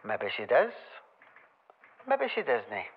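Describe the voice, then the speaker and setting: A man playing an unhurried, dismissive rural police officer with a Scottish accent, dry and laconic, in a radio play. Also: mid-range voice, huge pitch swings, slightly rough, exaggerated voice. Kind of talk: radio play phone-call acting. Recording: dull lo-fi telephone sound.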